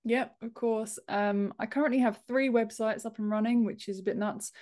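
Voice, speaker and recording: A woman's voice: on the high side, steady tone, normal speed, darkish tone. A woman in her thirties talking casually as an interview guest on a video call from a room at home. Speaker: podcast guest, author and book formatter.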